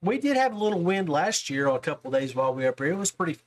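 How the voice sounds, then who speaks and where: A man's voice: fairly high pitch, big pitch swings, very fast. A man with an American accent chatting casually as the host of a podcast. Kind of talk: casual chat.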